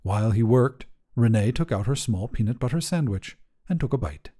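Mellow male storyteller with expressive pitch. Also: normal speed. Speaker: fiction podcast narrator. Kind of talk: scripted short story narration.

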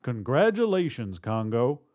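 A man's voice: a little high, animated, a little slow, a little mellow, voice pushed up. A man plays a bullying male robber in charge of a hold-up in an audio drama reading. He raises his voice above normal talk and barks commands with menace and sarcasm. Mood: sarcastic. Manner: barking, commanding.

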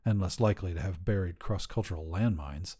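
A man's voice: deep voice, brisk, mellow, slightly rough, voice pushed down. A man voicing an awkward, tactful human woman on a cargo crew in an audio short story, speaking hesitantly and carefully.

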